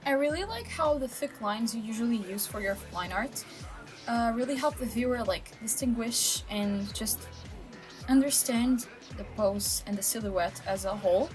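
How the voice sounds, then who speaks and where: A woman's voice: fairly high pitch, lively intonation, moderate pace. A woman co-hosting a casual art commentary in a chatty, encouraging, informal way. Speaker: co-host, digital artist. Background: background music.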